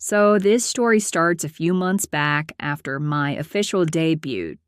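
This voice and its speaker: A woman playing a prim, aloof accountant in her late twenties who narrates her own story in a deadpan, formal way. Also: deep voice, unhurried. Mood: matter-of-fact. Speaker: host and narrator of a character story series. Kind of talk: scripted first-person storytelling.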